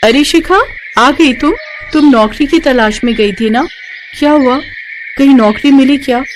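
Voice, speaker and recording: A woman voicing a kind, self-sacrificing young married sister-in-law in an animated moral story, with a caring, expressive manner. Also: high voice, measured pace, a little hiss or hum, pitched above the performer's own voice.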